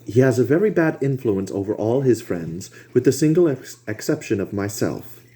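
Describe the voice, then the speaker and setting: A man voicing an earnest male painter, gently coaxing his friends in a lifted voice. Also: mid-range voice, very animated, normal speed, pitched above the performer's own voice, close to an everyday voice.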